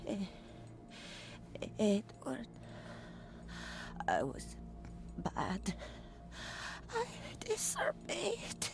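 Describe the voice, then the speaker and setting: An actress playing a frail, terrified old great-grandmother in a video game, speaking in halting, fearful fragments with a thin quavering voice. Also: mid-range voice, huge pitch swings, vocal fry, over music, full character voice. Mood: distraught, halting. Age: elderly. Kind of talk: dramatic video game acting.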